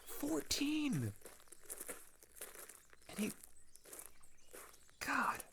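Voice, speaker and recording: A young man playing a scared, grieving older teenage boy in an intimate audio roleplay, talking in a halting, casual, rambling way. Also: very high voice, wildly swooping pitch, creaky, noisy background, exaggerated voice. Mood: anguished, indignant. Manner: halting, rambling, casual youthful speech with sighs.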